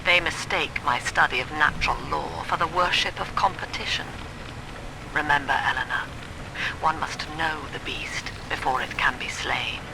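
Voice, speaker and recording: Cold brainy psychiatrist villain, formal lecture tone, game audio log, noisy. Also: female voice, low voice, normal speed, creaky. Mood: clinical, cold. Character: cool, commanding intellectual woman psychiatrist, quietly menacing. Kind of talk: dramatic game audio diary.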